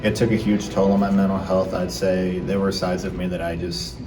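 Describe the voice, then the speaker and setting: A man's voice: low voice, monotone, brisk. An eighteen-year-old man speaking matter-of-factly in an interview. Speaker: high school student interviewee. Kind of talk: documentary interview. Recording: echoey room, mic far off. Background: background music.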